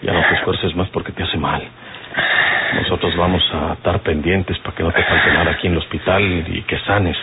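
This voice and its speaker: An actor with a northern Mexican accent playing a firm, protective rural bandit boss in an old radio serial, with folksy, commanding speech. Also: male voice, deep voice, steady tone, moderate pace. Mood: reassuring. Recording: muffled, noisy old radio serial recording.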